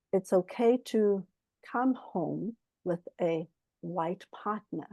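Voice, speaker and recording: A woman from Zimbabwe speaks slowly as a guest author, sharing personal reflections in an earnest, halting way. Her voice is mellow and fairly low, and the sound is dry and close. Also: no room sound. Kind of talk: reflective personal account at a book club talk.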